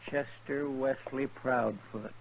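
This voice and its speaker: A mid-pitched, drawling country sidekick in an old radio western. His rural American speech is folksy, heard through clearly noisy, crackly vintage sound. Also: fairly even intonation, slow. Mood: wary, mocking. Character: folksy, protesting marshal's sidekick, a man.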